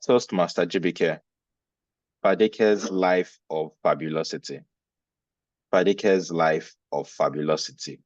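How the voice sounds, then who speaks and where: A man's voice: low voice, no echo. A man formally and carefully hosting an online contest. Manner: formal, measured. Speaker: contest chair. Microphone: video call. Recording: dry, dull video call audio.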